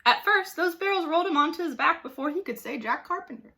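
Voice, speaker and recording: Female storybook narrator, teacherly, slightly high and bright, expressive with dramatic emphasis. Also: fairly quick. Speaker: teacher reading aloud. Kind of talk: animated storybook narration for children.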